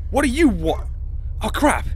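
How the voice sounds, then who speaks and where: Male actor as timid crook, very high voice, animated, audio drama, noisy ambience. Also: unhurried, slightly rough, exaggerated voice. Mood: alarmed. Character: cowardly male robber lackey who refuses violence.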